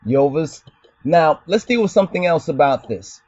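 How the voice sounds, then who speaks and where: A man's voice: a little high, lively intonation, moderate pace, a little mellow, no echo, highs cut off. A man hosting his own channel, delivering an argumentative commentary monologue in a lecturing tone, often asking "you understand?". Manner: lecturing, rhetorical questions, repeats "you understand". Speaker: channel host answering a commenter.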